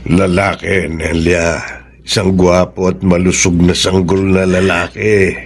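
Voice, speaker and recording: Gravelly, fatherly older man. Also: deep voice, flat delivery. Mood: tender. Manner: earnest, measured, fatherly. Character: caring, gently admonishing older father and grandfather. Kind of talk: Filipino radio drama acting.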